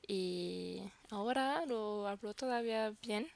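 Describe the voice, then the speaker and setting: Slow, gravelly woman vlogger, French native. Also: medium pitch. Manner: halting, searches for words. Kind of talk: casual talk to camera.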